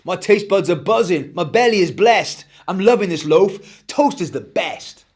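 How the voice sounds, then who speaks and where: A man voicing an excitable, grateful little boy in a children's story, exclaiming exuberantly. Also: high-pitched, a little slow, voice pushed up. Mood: excited delight.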